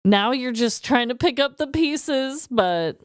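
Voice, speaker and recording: A woman's voice: high voice, animated, slow pace, no echo. A woman co-hosting a podcast in casual, reactive chat on a close microphone. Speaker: podcast co-host.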